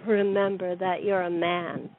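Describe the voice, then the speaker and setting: Sly femme fatale played by an actress, tinny sound. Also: female voice, on the low side, level tone, measured pace, a little hiss or hum. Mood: teasing. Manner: sly, self-assured, needling. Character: cool, teasing femme fatale with a shady proposition. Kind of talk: noir stage-play acting. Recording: tinny phone-line audio.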